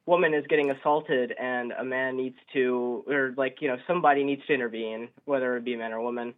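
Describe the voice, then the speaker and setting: An eighteen-year-old man from upstate New York calling a radio show on a phone line, debating casually in a hesitant, halting way. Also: medium pitch. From upstate New York, United States. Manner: hesitant, halting, stammering restarts. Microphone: telephone. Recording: narrow, tinny phone line.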